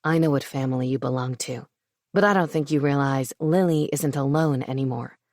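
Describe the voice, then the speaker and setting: A woman voicing a big, protective dragon shifter man who speaks quietly and coldly. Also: brisk, no room sound, clean studio sound. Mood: coldly firm. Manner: low, quiet, steady menace.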